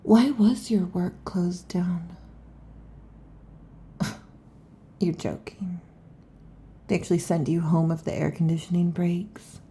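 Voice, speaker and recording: A woman playing a restless, possessive lamia girlfriend in an intimate ASMR roleplay, with a breathy, husky half-whisper and halting, teasing delivery. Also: fairly low pitch, steady tone, dark tone, full character voice. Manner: halting, teasing, predatory intensity. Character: restless, possessive female lamia monster girlfriend next door.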